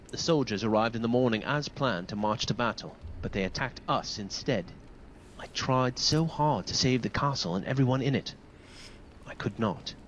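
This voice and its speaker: An actor playing an earnest, courteous young knight in a fantasy video game, speaking formally and sincerely. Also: male voice, medium pitch, slight background noise, natural voice, rough recording. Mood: regretful. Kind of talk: video game dialogue acting.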